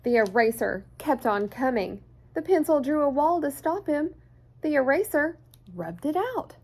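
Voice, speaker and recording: A woman reading a children's picture book aloud in an expressive, deliberate storyteller's way. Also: high voice. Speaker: children's story time host.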